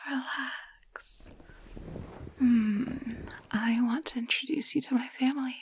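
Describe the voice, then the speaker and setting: A woman acting as a tender, protective motherly nurse in an audio roleplay, gentle and soothing, often dropping into whispers. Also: high voice, steady tone, slow, slight background noise. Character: tender, protective motherly nurse, cooing over a foundling child.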